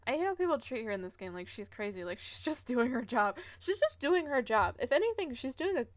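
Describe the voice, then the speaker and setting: A woman's voice: sits high, big pitch swings, fairly quick, no room sound. A woman game streamer chatting and commenting casually live, in a giggly, rambling way.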